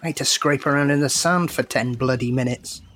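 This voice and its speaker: A man's voice: mid-range voice, brisk, a bit bright, lightly acted. A man playing a grieving, defensive brother, a worn-out diver, in blunt, terse audio drama acting. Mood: haunted, subdued.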